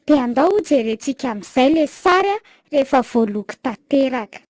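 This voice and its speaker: A woman's voice: sits high, animated, fast, dry recording. A woman, a native Malagasy speaker, presenting on a show.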